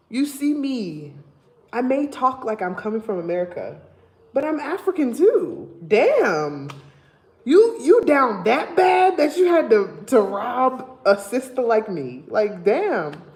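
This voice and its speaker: A young woman from Liberia with a Liberian accent, chatting casually and emphatically to her viewers on a livestream. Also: on the low side. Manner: animated, emphatic storytelling. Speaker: vlogger.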